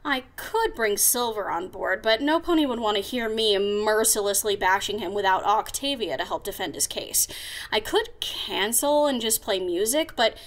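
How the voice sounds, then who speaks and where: A woman's voice: mid-range voice, expressive, normal speed, lightly acted. A woman playing a brash, sarcastic pony radio DJ with a big ego in a comic audio drama. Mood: storytelling. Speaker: radio host. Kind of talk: comic audio drama with first-person narration.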